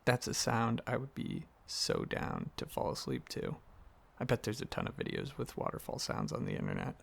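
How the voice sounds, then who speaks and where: A man performs a tender young dragon boyfriend for an ASMR roleplay. His voice is husky and breathy, with a gravelly edge at a medium pitch. He talks softly and earnestly, hesitating as he goes, as if to someone very close. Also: wildly swooping pitch, moderate pace, exaggerated voice. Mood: wistful, serene. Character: gentle, affectionate young male dragon boyfriend.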